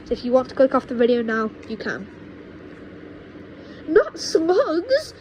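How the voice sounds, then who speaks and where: High-voiced woman reading a storybook. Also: fairly even intonation, fast, a little mellow, highs cut off. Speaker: audiobook reader. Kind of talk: storybook narration. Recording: noisy low-quality home microphone recording.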